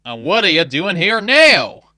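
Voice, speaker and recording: A woman voicing an excitable, blunt young man who yelps and exclaims. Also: lowish voice, measured pace, no echo, studio quality, heavily acted. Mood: agitated. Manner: yelping, blunt.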